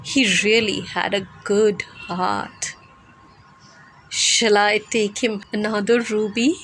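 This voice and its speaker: A woman's voice: mid-range voice, steady tone, slow pace, a bit bright, a little hiss or hum, poor audio. A woman voicing a small, tender-hearted male swallow in a storybook, speaking in an earnest, emotive way. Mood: tender, reluctant.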